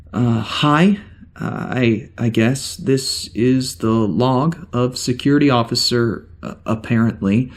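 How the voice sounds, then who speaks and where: A man playing an uneasy amnesiac spaceship security officer, rambling hesitantly through a spoken log in a science fiction audio drama. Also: medium pitch. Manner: halting, hesitant, rambling, wry.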